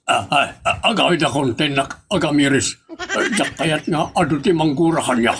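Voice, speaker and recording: Man acting high-voiced blustering Ilocano cheat. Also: expressive, moderate pace, background noise, lightly acted. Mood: flustered. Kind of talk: comedy radio drama acting.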